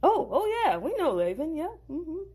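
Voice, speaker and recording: A woman's voice: high voice, sing-song, moderate pace, dark tone, pitched above the performer's own voice. A woman voicing a friendly, easygoing herdsman with a folksy drawl.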